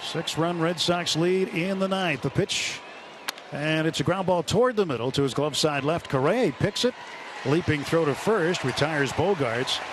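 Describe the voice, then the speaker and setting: Male baseball radio announcer, higher-register voice, live play-by-play over clear ballpark noise. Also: normal speed. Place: broadcast booth at a ballpark. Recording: noisy stadium radio broadcast. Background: steady ballpark noise.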